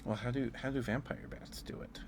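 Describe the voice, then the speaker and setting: A man's voice: mid-range voice, steady tone, a little fast, background noise. A man chatting casually with livestream viewers as host, musing aloud in an informal, hesitant way.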